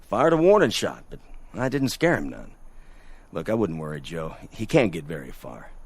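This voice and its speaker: An actor playing a steady, folksy small-town sheriff in a radio drama, matter-of-fact and reassuring. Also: male voice, deep voice, normal speed, creaky, breathy edge, no room sound, full character voice. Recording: faint music behind the dialogue.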